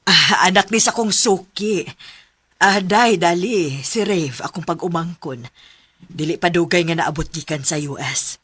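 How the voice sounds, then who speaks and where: A woman's voice: on the low side, unhurried, fairly bright tone, husky, no room sound. A woman with a Filipino Cebuano accent playing a genteel, gracious middle-aged aunt in a radio drama. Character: genteel, gracious aunt, a well-off massage client.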